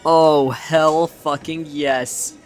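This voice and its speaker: A man playing a cocky, ironic teenage boy in a game fan dub, slangy and cool, full of deadpan bravado. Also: a little high, drawn-out words. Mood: gleeful. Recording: music under the voice. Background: background music.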